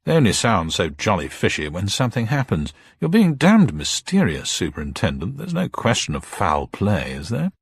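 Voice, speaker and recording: A man voicing a blustering upper-class gentleman in a detective story, hearty and rattled, full of old-boy indignation. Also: fairly low pitch, huge pitch swings, normal speed, few pauses, husky, dry recording, voice pushed up, full character voice. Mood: rattled, indignant.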